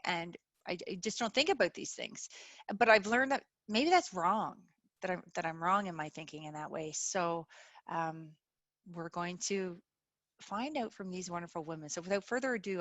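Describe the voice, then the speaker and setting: A middle-aged woman hosting a webinar over a video call, talking earnestly with many pauses and fillers. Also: fairly low pitch, fairly bright tone, no room sound. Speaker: webinar host. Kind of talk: casual chat.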